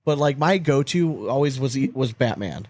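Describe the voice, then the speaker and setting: A man's voice: mid-range voice, expressive, moderate pace, darkish tone. American guy in his 30s hosting a podcast, crude jokey banter, says things twice. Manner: crude, joking, repeats himself for emphasis. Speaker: podcast host. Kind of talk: casual banter interview.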